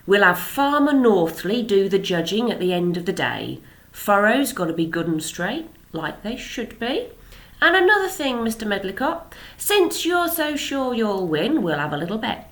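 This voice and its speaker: A woman's voice: medium pitch, fairly bright tone, voice pushed up. Woman reading as a stubborn farmer father.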